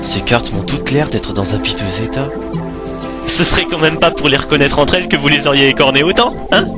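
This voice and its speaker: A performer voicing a hot-headed, suspicious teenage boy in an amateur anime dub, indignant, sarcastic and expressive. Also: medium pitch, a little fast, loud music behind the voice, natural voice.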